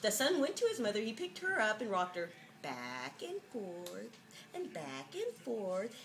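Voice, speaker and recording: A woman's voice: mid-range voice, wildly swooping pitch, measured pace, slight background noise. A woman giving a mock-dramatic reading of a children's picture book, with jokey, sardonic, giggly asides, on an amateur home video.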